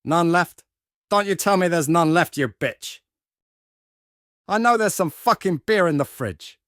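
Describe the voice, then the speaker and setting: A man's voice: high-pitched, lively intonation, a little slow, a bit bright, dry recording, studio quality. A man voicing a crude, foul-tempered, self-pitying husband in a manga dub, talking belligerently. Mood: angry, aggrieved.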